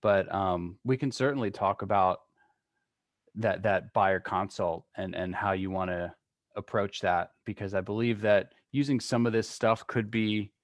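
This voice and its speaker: A man's voice: lowish voice, steady tone, measured pace, no echo, studio quality. A man speaking informally and agreeably as he explains things to a team in an online meeting. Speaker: real estate trainer co-leading a meeting.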